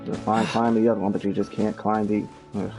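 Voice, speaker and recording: Casting: male game streamer, low and unhurried, dryly grumbling in casual commentary over prominent game music. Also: level tone. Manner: halting, grumbling, dry. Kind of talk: casual live gameplay commentary.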